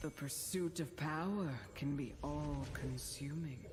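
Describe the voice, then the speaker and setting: A woman playing a mysterious, wry storyteller in a video game, narrating cautionary fables in a measured, theatrical way. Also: low voice, very noisy. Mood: ominous, wry. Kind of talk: dramatic scripted game narration.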